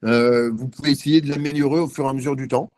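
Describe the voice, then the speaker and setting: A man giving a live software demo on a video call, chatty and self-mocking, thinking aloud with many fillers. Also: medium pitch, fairly even intonation, quick pace, dry recording, clean studio sound. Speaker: guest presenter.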